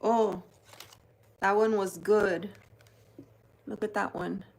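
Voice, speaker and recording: A woman's voice: medium pitch, steady tone, gravelly. A woman from New Jersey, hosting a live-stream card unboxing and greeting viewers by name. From New Jersey, United States. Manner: chatty, greets viewers by name while showing cards; slow, unhurried delivery. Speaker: tarot channel host.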